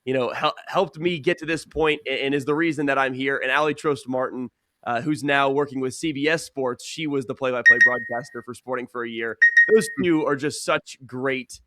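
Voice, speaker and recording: A man's voice: medium pitch, steady tone, moderate pace, bright, forceful tone, a little hiss or hum. A young man, a sports broadcaster, giving earnest, conversational answers as a guest in a casual interview. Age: young adult.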